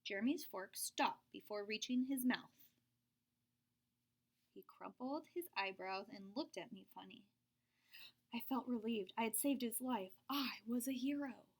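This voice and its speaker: Woman, children's book read-aloud, fairly high pitch, expressive, whispered suspense. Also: unhurried. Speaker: storybook reader. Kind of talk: reading a picture book aloud.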